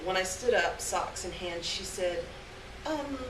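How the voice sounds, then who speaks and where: A woman giving an expressive live reading of a short story on stage. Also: on the low side, moderate pace. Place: indoor stage reading before a live audience. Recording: echoey, noisy live room, distant mic.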